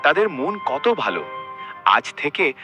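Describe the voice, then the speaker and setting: A man's voice: a little high, very animated, takes it slowly. A man voicing cartoon figures and narrating in an exaggerated, theatrical way. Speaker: narrator and voice actor. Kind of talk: cartoon voice acting and storytelling. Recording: voice-over with music.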